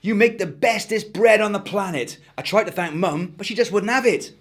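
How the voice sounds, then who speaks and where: A man voicing an excitable, grateful little boy in a children's story, exclaiming exuberantly. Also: sits high, normal speed, pitched above the performer's own voice, lightly acted. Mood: cheering gratitude.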